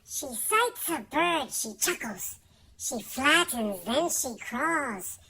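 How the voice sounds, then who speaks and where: A playful, childlike reciter of children's poems. The voice is very high and bright, rising and falling dramatically. Every phrase is delivered deliberately and theatrically. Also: male voice, lively intonation, vocal fry, exaggerated voice. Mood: playful, suspenseful. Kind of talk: dramatic children's poetry reading.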